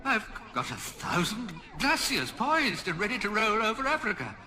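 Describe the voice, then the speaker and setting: Wounded old craftsman, high wavery-pitched delivery, fussy, reverby noisy radio scene. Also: big pitch swings, moderate pace. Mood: indignant, proud. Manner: hesitant, plaintive. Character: wounded, fussy elderly craftsman, proud and deferential. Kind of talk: comic radio drama acting. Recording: long reverberant hall, noisy background.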